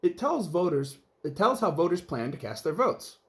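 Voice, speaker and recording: A man's voice: fairly high pitch, big pitch swings, moderate pace, fairly bright tone. A man voicing a helpful, patient male adviser in a children's storybook, explaining things emphatically. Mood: advising. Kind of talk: children's storybook read-aloud.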